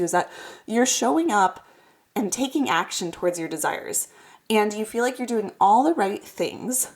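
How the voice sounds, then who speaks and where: A woman hosting a card reading, speaking casually and directly to the viewer in a reassuring, encouraging way, slightly congested from a cold. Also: medium pitch. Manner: reassuring, encouraging, emphatic repetition. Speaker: host of tarot card readings.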